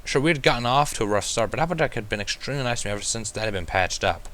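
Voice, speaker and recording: A man's voice: mid-range voice, expressive, rapid-fire, fairly bright tone. A man narrating a first-person fan fiction story as a reader.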